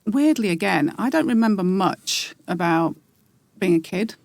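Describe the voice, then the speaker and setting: A woman in her forties, a podcast guest, talking in a reflective, halting way with many pauses and restarts. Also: mid-range voice, animated, vocal fry, darkish tone, no room sound. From England. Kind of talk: casual interview.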